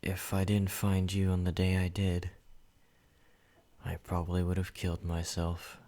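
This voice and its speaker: A man voicing a possessive yandere vampire in an ASMR roleplay, speaking softly and intimately, sometimes whispering. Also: deep voice, completely flat delivery. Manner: soft, intimate, slow and controlling, slipping into whispers. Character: possessive male yandere vampire, obsessive and menacing.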